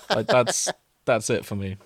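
A man's voice: mid-range voice, no room sound. A man hosting a casual, comic chat among friends. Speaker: host.

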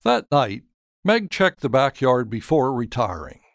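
Slow, expressive male storyteller. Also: medium pitch, gravelly, dry recording, studio quality. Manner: measured, dramatic storytelling. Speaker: author and narrator. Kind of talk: scripted story narration.

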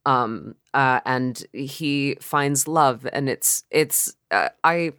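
A podcast co-host chatting casually and informally, haltingly circling back mid-thought. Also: mid-range voice, bright, forceful tone, clean tone, no echo, clean studio sound. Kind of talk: casual chat.

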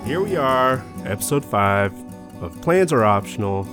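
A man's voice: medium pitch, sing-song, measured pace, over music. A man hosting a casual podcast, talking in a friendly, rambling way and laughing easily. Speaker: podcast host. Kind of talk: casual chat.

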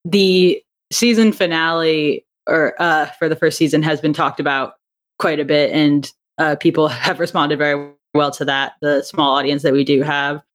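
A woman's voice: low voice, fairly even intonation, moderate pace. A young adult woman chatting casually as an interview guest, with a giggly, talkative manner. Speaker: interview guest, audio drama writer and director.

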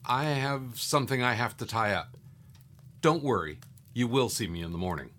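A man acting as a calm, mysterious guide in an audio drama, reassuring and instructive. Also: medium pitch, normal speed, close to an everyday voice. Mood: calm, assured.

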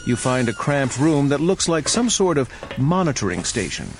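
Expressive man narrating an adventure game. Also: mid-range voice, normal speed, background noise. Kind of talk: scripted story narration.

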